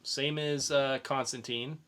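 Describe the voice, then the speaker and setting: Bright-voiced man chatting on a podcast. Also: medium pitch, fairly even intonation, takes it slowly. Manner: informal, swearing, rambling plot retelling. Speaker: podcast host and comic reviewer. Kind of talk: casual podcast review chat.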